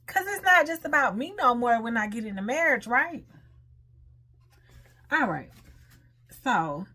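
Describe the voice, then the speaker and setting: A woman's voice: on the high side, bright, forceful tone. Casual American woman talking to her followers. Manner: informal, conversational, asks listeners rhetorical questions. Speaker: online host reading and commenting on a marriage lesson. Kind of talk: casual talk-through of read material with personal commentary.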